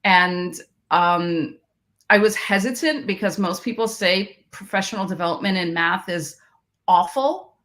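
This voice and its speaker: A woman's voice: lowish voice, a little slow, a bit bright. A woman from Queens, a math education researcher who is a guest on a podcast and tells her story in a reflective way, often restarting her sentences. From Queens, New York. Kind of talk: interview conversation.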